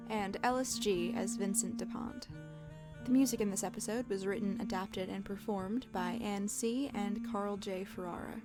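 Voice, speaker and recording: A woman reading scripted end credits as a podcast announcer. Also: medium pitch. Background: plucked guitar music.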